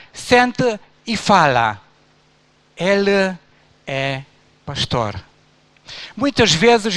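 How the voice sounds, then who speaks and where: Slow, high-voiced pastor from Romania. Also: male voice, fairly bright tone, no room sound. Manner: slow, emphatic recitation of long verb lists. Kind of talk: church speech, reciting a poem.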